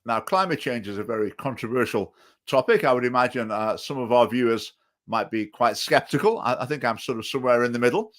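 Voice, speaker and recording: A man's voice: mid-range voice, fairly quick, a bit bright. Hesitant man hosting a talk show. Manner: hesitant, many fillers and restarts. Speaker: host. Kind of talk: political talk show chat.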